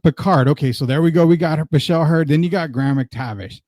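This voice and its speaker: A man's voice: fairly high pitch, fast, darkish tone, dry recording, clean studio sound. An American man hosting a podcast, chatting through promotional patter and introducing his guest in an upbeat interview style. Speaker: podcast host.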